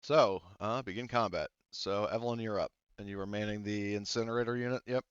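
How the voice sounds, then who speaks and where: A man running a tabletop game as game master, relaxed and hesitant, with many fillers. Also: lowish voice, brisk, smooth, no echo, lo-fi sound.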